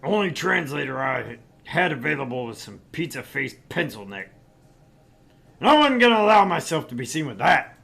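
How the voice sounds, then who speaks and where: A man's voice: a little high, very animated, normal speed, gravelly, a bit bright, heavily acted. A man voicing a brash, boastful older businessman in a visual novel, with a blustery, sardonic and condescending way of talking. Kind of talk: visual novel read-aloud.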